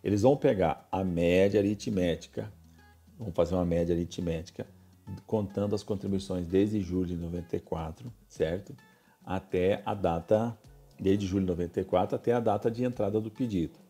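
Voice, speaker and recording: A man's voice: deep voice, moderate pace, mellow, slightly rough, faint music behind. A man with a Brazilian Portuguese accent hosting a radio-style talk, explaining social security rights, repeating himself and often checking in with "né?" and "legal?".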